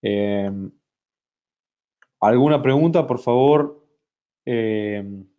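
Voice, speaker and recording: A man presenting a software product in a webinar, talking slowly with many fillers and restarts. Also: medium pitch, lo-fi sound. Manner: hesitant, many fillers and restarts, speaks slowly in Spanish for a Brazilian audience.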